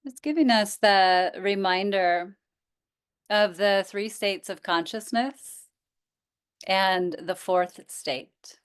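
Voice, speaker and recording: Slow, smooth-voiced woman guiding relaxation. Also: mid-range voice. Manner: gentle, invitational, with pauses. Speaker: yoga nidra teacher. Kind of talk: guided relaxation instruction.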